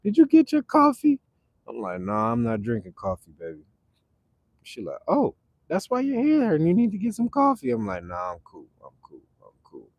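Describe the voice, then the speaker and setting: A man's voice: on the low side, darkish tone, no room sound, studio quality. Laid-back man chatting on a livestream. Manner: laid-back, repeats phrases, reads chat aloud. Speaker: livestream host. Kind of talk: casual live chat with viewers.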